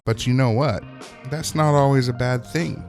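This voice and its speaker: A man's voice: medium pitch, normal speed, creaky, darkish tone, faint music behind. A man hosting a scripted recap and review of a TV episode, narrating in a wry, opinionated way. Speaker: host of a TV episode recap show.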